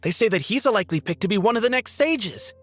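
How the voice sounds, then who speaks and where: Chatty gossipy scholar in a game, animated pitch. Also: male voice, fast talker, breathy edge, dry recording, soft background music, exaggerated voice. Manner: gossipy, casual. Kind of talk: video game dialogue acting.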